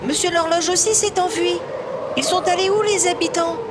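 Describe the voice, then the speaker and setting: A woman voicing a young male cartoon train, an earnest, protective and excitable friend who speaks emphatically. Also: very high voice, normal speed, heavy background noise. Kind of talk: French children's cartoon dub.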